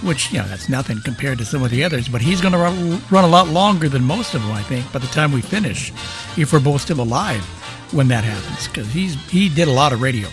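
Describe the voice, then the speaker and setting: A man's voice: medium pitch, lively intonation, brisk, over music. An American man hosting an old-time radio program, introducing shows in a chatty, conversational way. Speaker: radio show host.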